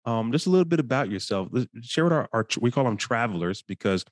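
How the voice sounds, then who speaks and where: A man's voice: mid-range voice, lively intonation, quick pace, no room sound, clean studio sound. A man hosting an interview podcast in a casual, conversational style. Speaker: podcast host.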